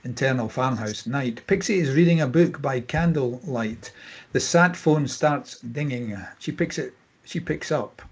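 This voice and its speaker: Man, husky, medium pitch, scripted narration, reading screenplay directions. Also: unhurried. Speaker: stage-direction reader.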